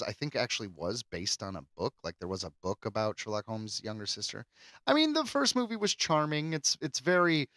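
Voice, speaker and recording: A man with a bright voice and a lively, swinging pitch. He chats and comments casually as a podcast co-host, recorded dry and close. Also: no echo.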